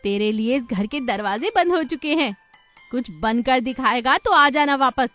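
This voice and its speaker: Man putting on a high-pitched, scolding village mother. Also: moderate pace, sharp tone, soft background music. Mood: furious scolding. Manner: shrill, scolding. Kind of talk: animated moral story dialogue.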